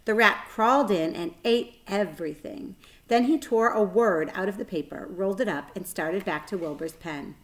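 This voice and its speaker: A woman's voice: medium pitch, normal speed. Woman narrating a children's story. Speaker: read-aloud narrator.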